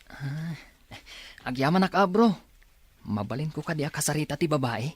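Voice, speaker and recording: A man's voice: on the high side, lively intonation, moderate pace, slightly rough, no echo. An actor playing an earnest, courteous young man in a radio drama. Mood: grateful, hopeful. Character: earnest, courteous young man seeking his lost sibling. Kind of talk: Ilocano radio drama acting.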